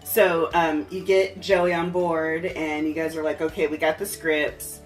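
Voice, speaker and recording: A man's voice: a little high, level tone, a little slow, recorded from a distance, music in the background. A man hosting a casual interview in an encouraging, reflective way. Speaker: podcast host, interviewer.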